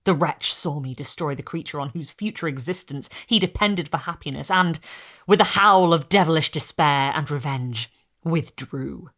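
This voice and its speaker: A woman hosting a candlelit reading series, reading a gothic novel aloud in a theatrical way and sometimes dropping to a hush. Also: low voice, moderate pace. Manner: theatrical, hushed drops to a whisper. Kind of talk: dramatic reading of gothic fiction.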